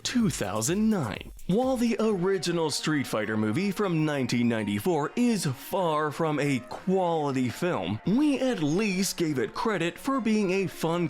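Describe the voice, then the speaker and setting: Male documentary host for scripted film retrospective. Higher register, very varied intonation, faint music bed. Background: background music.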